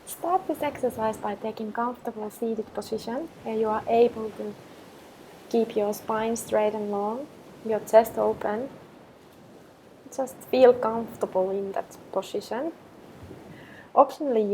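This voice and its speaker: A woman leading a guided meditation with affirmations, speaking in a calm, gentle, measured way with long pauses. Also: a little high, a little hiss or hum, poor audio.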